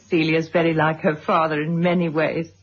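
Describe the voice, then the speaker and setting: An actress playing a gentle, solicitous middle-aged mother and wife in a radio drama, speaking considerately. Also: deep voice, little pitch movement, moderate pace, husky. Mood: confiding. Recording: dull old radio recording.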